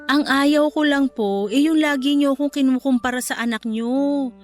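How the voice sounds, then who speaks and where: A woman's voice: high-pitched, normal speed, a little mellow, faint music behind, lightly acted. A woman playing an aggrieved, defensive live-in partner standing up for herself in a Filipino radio drama. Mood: hurt, pleading. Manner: pleading, defensive.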